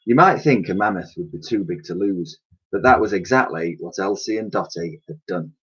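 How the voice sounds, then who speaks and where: A man reads a children's story aloud with dramatic flair. His voice is slightly rough and swings widely in pitch. The recording is a little dull and lo-fi. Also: expressive, normal speed. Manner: dramatic, with occasional stumbles. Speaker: primary school teacher reading aloud. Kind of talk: expressive children's storybook reading.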